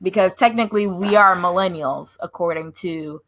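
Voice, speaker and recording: A woman's voice: fairly low pitch, moderate pace, a bit bright, a little hiss or hum. A woman in her thirties co-hosting a podcast, upbeat and laughing often in casual chat. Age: 30s. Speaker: podcast co-host.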